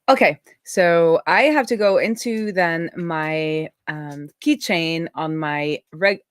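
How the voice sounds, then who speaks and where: Brief: middle-aged Norwegian woman, native Norwegian speaker, casual stream host, lowish voice, dry close sound. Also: dry recording. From Norway. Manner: chatty, thinks aloud with fillers and restarts. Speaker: streamer unboxing a laptop. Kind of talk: casual livestream chat.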